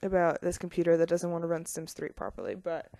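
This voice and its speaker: A woman's voice: fairly low pitch, lively intonation, quick pace, creaky, dark tone, no echo. A young woman casually talking over her building video game footage, rambling with lots of ums and small laughs.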